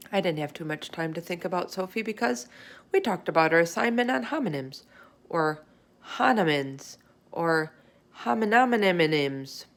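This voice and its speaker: A woman reading a children's chapter book aloud in an expressive storytelling style. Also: fairly low pitch. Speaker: storybook reader. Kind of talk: children's read-aloud, expressive scripted narration.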